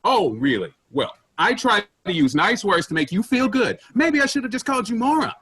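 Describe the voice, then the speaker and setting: Abrasive, callous stage boss, male actor, sarcastic and brusque, highly animated, forceful tone. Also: fairly quick, breathy edge, heavily acted. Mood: sarcastic, scornful. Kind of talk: staged play reading.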